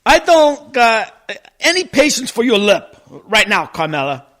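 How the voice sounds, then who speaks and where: Casting: male actor as worn-out mob boss dad, high voice swinging widely, whiny, halting, radio comedy. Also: big pitch swings, fairly bright tone, exaggerated voice. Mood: wary, irritated. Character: stressed, weary male mobster and family father. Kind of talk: comedic radio play acting.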